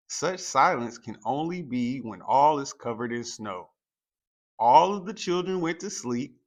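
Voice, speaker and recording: Slow-paced man reading a children's story. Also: medium pitch. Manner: deliberate, expressive storytelling for young children. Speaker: storytime reader. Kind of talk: children's picture book read-aloud, scripted narration.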